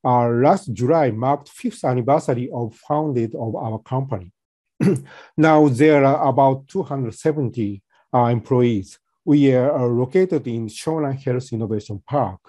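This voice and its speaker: Halting soft-spoken man presenting. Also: mid-range voice, no echo. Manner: halting, many fillers and restarts. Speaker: company presenter. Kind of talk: webinar presentation.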